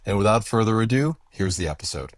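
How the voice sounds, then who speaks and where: A man hosting casual behind-the-scenes commentary, talking in a halting, thoughtful way. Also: on the low side, expressive, very noisy. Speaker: host and show creator.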